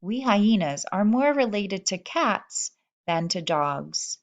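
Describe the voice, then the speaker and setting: A woman reading a children's picture book aloud in an expressive manner, voicing animal characters in the first person. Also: mid-range voice, slow. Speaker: storybook reader.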